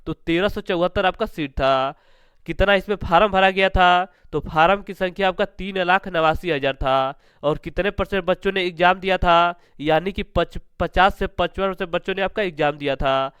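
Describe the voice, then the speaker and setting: High-pitched man presenting exam information. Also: normal speed, a bit bright, smooth, no echo. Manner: repetitive, formulaic, asks and answers own questions. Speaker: exam-prep channel host.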